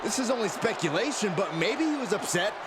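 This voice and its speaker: A man playing an excitable pro wrestling TV announcer in a game, calling play-by-play. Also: high voice, fairly quick, very noisy. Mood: analytical. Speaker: wrestling commentator. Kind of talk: sports play-by-play commentary. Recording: loud music behind.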